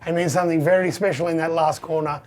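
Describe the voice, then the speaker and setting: Hoarse man, voice nearly gone. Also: on the high side, steady tone, quick pace, darkish tone, faint music behind. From Australia. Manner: chatty, upbeat, pointing things out. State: lost voice, hoarse. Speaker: vlogger, arcade collector. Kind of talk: casual vlog tour.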